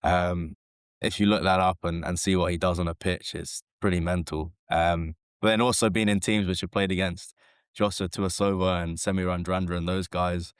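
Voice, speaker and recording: A man, a former rugby sevens player, talking casually as a podcast guest in a relaxed, rambling way with lots of fillers. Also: deep voice, moderate pace, clear, resonant tone, dry recording, studio quality. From England.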